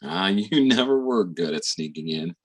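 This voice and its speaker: A man playing a gentle, devoted middle-aged Southern father in stage-play dialogue, speaking in a fond, coaxing way. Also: low-pitched, animated, moderate pace. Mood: teasing, fond.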